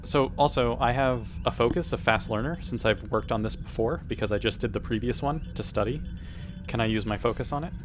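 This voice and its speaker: A man's voice: medium pitch, brisk, over music. A man playing a tabletop game, chatting casually in a self-deprecating, joking way. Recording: noisy room background.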